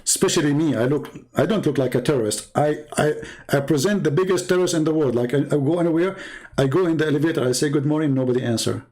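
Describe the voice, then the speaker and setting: Higher-pitched, sarcastic Arabic-accented man. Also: a little mellow. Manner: sarcastic, halting with repetitions, acting out dialogue. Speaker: live show host. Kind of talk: casual, joking storytelling monologue.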